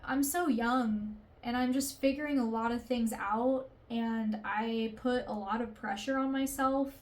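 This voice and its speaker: Woman, 20s, confessional vlogger; unhurried, rambling, emotional delivery, slightly high. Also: fairly even intonation. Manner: rambling, emotional, swears casually, many fillers. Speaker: artist vlogger. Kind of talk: candid confessional vlog.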